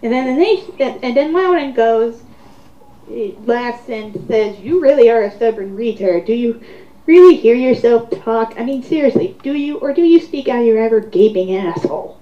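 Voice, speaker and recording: A woman's voice: fairly high pitch, expressive, moderate pace. A woman reading online comments aloud in a sarcastic, theatrical way, with mocking commentary. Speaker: host of a dramatic reading. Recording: roomy, muffled home recording.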